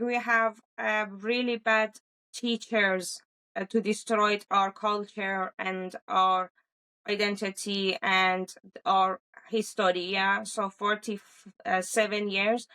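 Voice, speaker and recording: A woman from Iran with an Iranian accent and Persian as her first language, answering in an interview, haltingly searching for words. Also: mid-range voice, level tone, pushed and bright, clean tone, low-quality recording. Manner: halting, searching for words in non-native English. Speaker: interview guest giving a first-hand account.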